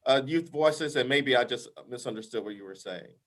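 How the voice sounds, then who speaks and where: A man with a big, mid-pitched voice giving his views to a council over a video call. He speaks emphatically, keeps checking in with "right?", and often restarts his sentences as he thinks aloud. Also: animated, moderate pace, a bit bright. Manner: emphatic, repeats "right?" as a tag, many restarts. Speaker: invited expert advising the council. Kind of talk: meeting discussion.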